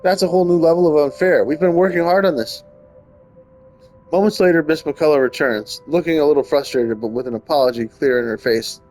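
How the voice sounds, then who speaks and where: A man's voice: fairly high pitch, brisk, a little mellow, low-quality recording. A man hosting a gaming video, reading game text aloud and adding casual comments. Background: game music.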